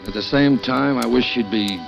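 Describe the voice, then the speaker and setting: A man's voice: mid-range voice, moderate pace, creaky, lightly acted. An actor playing a jealous husband who narrates his plans in the first person, in a 1940s radio drama. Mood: brooding. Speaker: narrator and lead. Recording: dull old radio broadcast with music.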